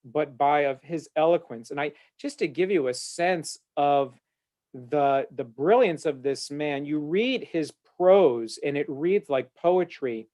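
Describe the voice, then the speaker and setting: A man's voice: a little high, slow pace, darkish tone. A man speaking as a rabbi on a discussion panel, earnest and eloquent with frequent filler uhs, talking from his office over a video call. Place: home office.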